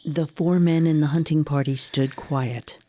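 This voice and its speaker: A woman narrating a scripted radio drama in a measured storytelling manner. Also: sits low, fairly even intonation, mellow, soft background music.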